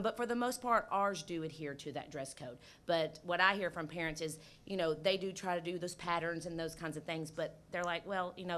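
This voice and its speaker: A woman elementary principal with a loud voice, talking at a board meeting into a table microphone. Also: low-pitched. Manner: loud-voiced, lively, conversational. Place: school board meeting room.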